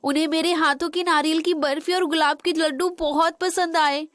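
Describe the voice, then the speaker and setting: A woman playing a dutiful young Indian daughter-in-law in a children's cartoon. Her voice is extremely high and bright, with a dry studio closeness. She speaks politely and earnestly. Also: steady tone, moderate pace, dry recording. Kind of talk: animated moral story dialogue in Hindi.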